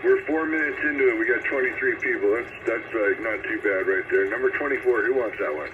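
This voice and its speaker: Through a very noisy, narrow radio signal comes a man's voice, calling a check-in net at a brisk clip. He is courteous and procedural, acknowledging stations as the host. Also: medium pitch. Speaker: radio roll-call host. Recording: noisy, tinny CB radio transmission. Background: loud radio static.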